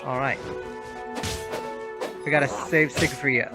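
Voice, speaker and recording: A woman with a low voice gives casual gameplay commentary as a streamer. Loud game music plays over her talk. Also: fairly even intonation, takes it slowly.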